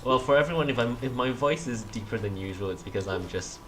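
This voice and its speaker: A man's voice: mid-range voice, quick pace, fairly bright tone, a little hiss or hum. A man co-hosting a casual gaming livestream, groggy from just waking up, who laughs often and restarts his sentences. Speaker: streamer co-host.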